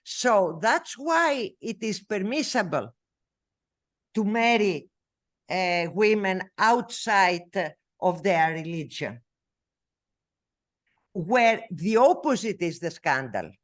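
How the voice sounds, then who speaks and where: Greek-accented female scholar, non-native English speaker; slow, hesitant lecture delivery, animated intonation. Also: medium pitch. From Greece. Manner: halting, many fillers and self-corrections. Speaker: researcher giving a talk. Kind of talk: academic lecture.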